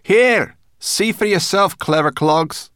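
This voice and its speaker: A man's voice: a little high, normal speed, no echo, studio quality, pitched above the performer's own voice, lightly acted. A man voicing a bossy, grumpy female brownie with a sarcastic, scolding manner, in a children's storybook reading. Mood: cross, scolding. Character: bossy, grumpy female furry brownie, sharp-tongued and cocky. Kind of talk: children's audiobook character voice.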